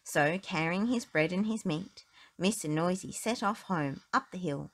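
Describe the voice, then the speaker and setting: A woman reading a children's story aloud as narrator, in an expressive, deliberate storytelling manner. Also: fairly low pitch. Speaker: storybook reader.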